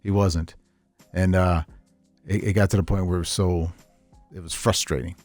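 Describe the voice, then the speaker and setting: A middle-aged man chatting casually on a podcast, telling stories in a rambling, animated way with repeats and chuckles. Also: low voice, moderate pace, no echo, soft background music. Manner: animated storyteller, chuckles often, repeats himself. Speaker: podcast host, veteran tabletop gamer.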